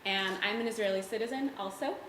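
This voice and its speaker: A woman's voice: mid-range voice, fast, fairly bright tone, a little hiss or hum. Chatty woman in an echoey gallery. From United States. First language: Hebrew. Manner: chatty, lots of "like" and "um" fillers. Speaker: artist giving a gallery talk. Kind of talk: casual presentation to an audience. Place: art gallery, indoors.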